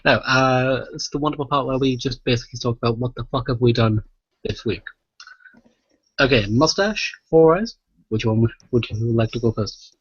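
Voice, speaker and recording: A man's voice: medium pitch, moderate pace, slightly rough, no echo, muffled recording. A man hosting a casual, sweary podcast in a playful, jokey way. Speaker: podcast host.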